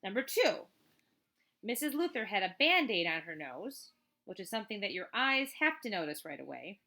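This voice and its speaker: A woman reading a children's book aloud as a teacher, in an expressive, chatty way with casual asides. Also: medium pitch, normal speed. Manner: expressive, chatty, emphatic on list items.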